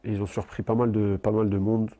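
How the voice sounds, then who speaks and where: A footballer answering interview questions in a calm, plain-spoken way. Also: male voice, fairly low pitch, brisk.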